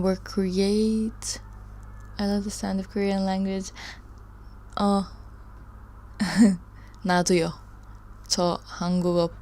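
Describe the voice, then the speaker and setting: A woman's voice: fairly low pitch, gravelly, a little mellow. A woman hosting a live study stream, chatting casually with viewers and reading their comments aloud in a rambling, chatty way. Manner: rambling, chatty, laughs often, trails off.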